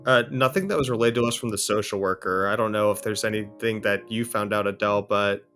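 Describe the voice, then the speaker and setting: A man acts as a foster father with a lowish voice, reasonable and a bit hesitant. He explains things tentatively, in the manner of an improvised drama. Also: fairly quick, fairly bright tone, faint music behind. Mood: explaining. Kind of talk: improvised role-play conversation.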